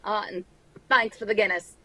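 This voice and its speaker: A woman's voice: medium pitch, steady tone, normal speed, noisy background, lightly acted. A woman voicing a friendly, gossipy male tavern keeper with a Cockney accent in a radio drama. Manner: folksy, gossipy, chummy. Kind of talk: old-time radio drama script read live.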